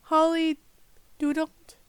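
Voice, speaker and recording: A woman with a high voice and a command of works through a text aloud at an unhurried pace. She stops and restarts often, mutters to herself and tests how words are pronounced. The sound is close and dry. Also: monotone, no room sound. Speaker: podfic reader. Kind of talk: informal rehearsal of a read-aloud, checking pronunciations.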